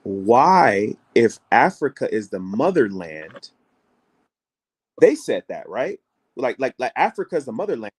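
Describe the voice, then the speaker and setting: A man's voice: medium pitch, big pitch swings, measured pace, slightly rough. An American man co-hosting a casual call-in show, building his point through repeated rhetorical questions. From United States. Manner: builds an argument with repeated rhetorical questions and acts out other people's voices. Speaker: travel show co-host.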